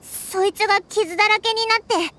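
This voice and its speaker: Tiny childish fairy sidekick for a game dub, very high squeaky voice, bright and slow-spoken, soft music behind. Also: female voice, fairly even intonation, slight background noise. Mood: stunned. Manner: slow and wondering, tearful when upset. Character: tiny floating fairy companion, childish and excitable.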